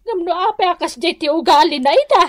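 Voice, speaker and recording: A disapproving Ilocano mother in a radio comedy. Her voice is high and dry in the studio. She nags like someone forever scandalized by her grown child. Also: lively intonation, a little fast, no room sound. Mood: resigned disapproval. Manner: scandalized, nagging. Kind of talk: Filipino radio comedy drama acting.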